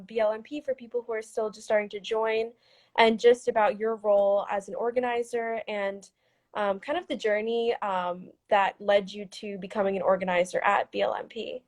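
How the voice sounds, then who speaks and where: A woman hosting a live video interview, friendly, laughing easily and filling pauses with ums. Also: a little high, steady tone, darkish tone. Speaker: host.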